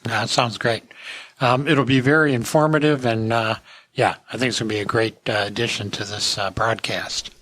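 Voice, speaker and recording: A man's voice: mid-range voice, fairly even intonation, moderate pace, a bit bright. A man chatting casually as the host of a podcast.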